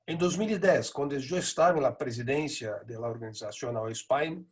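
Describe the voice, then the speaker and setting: A middle-aged man, a native Portuguese speaker with a Buenos Aires tinge in his Spanish, gives a measured conference lecture with frequent hesitations. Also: mid-range voice, husky. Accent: Portuguese-accented Spanish with a Buenos Aires lilt. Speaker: neurosurgery lecturer.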